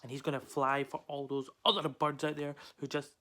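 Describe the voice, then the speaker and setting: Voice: mid-range voice, little pitch movement, fairly quick, bright, forceful tone, natural voice. An actor playing a weary but defiant caged male parrot in a solo theatrical monologue, speaking in an emphatic, conversational way. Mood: defiant, proud.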